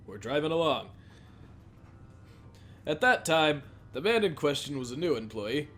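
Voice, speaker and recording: A man reads game dialogue aloud in a casual, halting way, tripping on phrases and starting them again. His voice is of medium pitch, with music playing faintly behind it. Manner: halting, stumbles and repeats phrases while reading. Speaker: let's play host reading a visual novel aloud.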